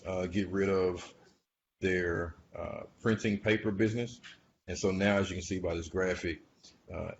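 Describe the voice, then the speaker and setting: A man giving informal investor commentary in a hesitant, musing way, often trailing off between phrases. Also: low-pitched. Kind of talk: informal earnings call commentary. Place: screen-share voice-over recording. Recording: dull lo-fi audio with faint noise.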